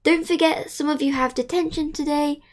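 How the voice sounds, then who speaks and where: A woman playing a strict schoolteacher in an amateur game movie, brisk and commanding. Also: high-pitched, fairly even intonation, natural voice.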